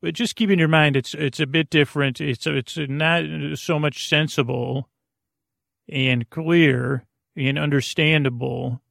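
A man with a creaky voice hosting a bedtime podcast, meandering hesitantly, mumbling and trailing off in a goofy way. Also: a little high, steady tone, unhurried, a bit bright, dry recording, clean studio sound. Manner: silly, goofy. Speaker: podcast host. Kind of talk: rambling bedtime podcast monologue.

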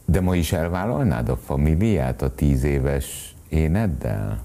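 A man's voice: very deep voice, lively intonation, warm tone. A man asking questions as an interviewer in a casual conversation.

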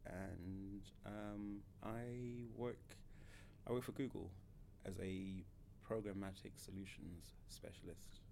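A man's voice: low-pitched, fairly even intonation, takes it slowly, gravelly, dark tone, slight background noise. A man in his thirties from Zimbabwe, a podcast guest who talks hesitantly with many fillers and restarts. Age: 30s. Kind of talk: casual interview.

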